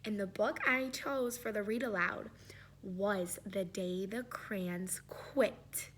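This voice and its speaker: A woman's voice: medium pitch, slow pace, a bit bright, a little hiss or hum. A woman teacher reading a children's storybook aloud, with sing-song chatty asides and questions to her young listeners.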